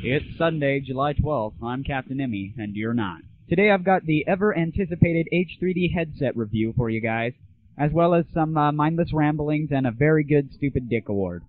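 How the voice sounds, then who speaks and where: A man's voice: a little high, expressive, normal speed. A man hosting his own show, talking to listeners in a casual, chatty way. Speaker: radio show host. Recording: lo-fi dry recording.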